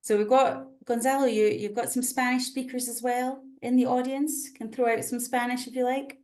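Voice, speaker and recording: A woman's voice: fairly high pitch, little pitch movement, normal speed, a little mellow. A Scottish woman from Scotland hosting an online session over a video call, chatty and friendly, with frequent fillers. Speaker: webinar host.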